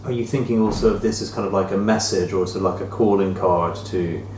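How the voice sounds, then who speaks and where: Heard at some distance in an echoey room with faint noise, a man with a low voice discusses a book. He speaks informally and thoughtfully as a panelist, circling tentatively towards his questions. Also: fairly even intonation, quick pace, a little mellow.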